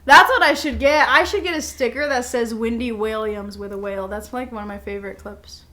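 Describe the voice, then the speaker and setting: A woman's voice: on the high side, brisk, pushed and bright. A young adult woman co-hosting a podcast in casual chat, playful, giggly and teasing. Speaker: podcast co-host.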